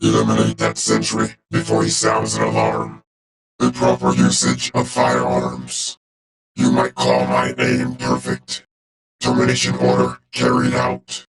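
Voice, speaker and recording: An actor playing a cold, calculating robot officer in a video game, with a gravelly droning timbre, clipped deadpan delivery and a robotic vocoder filter. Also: male voice, medium pitch, unhurried. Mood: cold, menacing. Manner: clipped, deadpan, formal reporting. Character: cold, calculating male robot war machine officer, loyal and menacing. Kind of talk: video game character voice lines.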